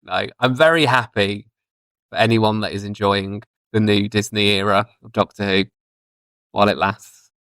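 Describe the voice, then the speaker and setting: A man's voice: fairly low pitch, normal speed, a bit bright, clear, resonant tone, dry recording, poor audio. A man in his thirties chatting casually and giving his opinions as a podcast guest. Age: 30s.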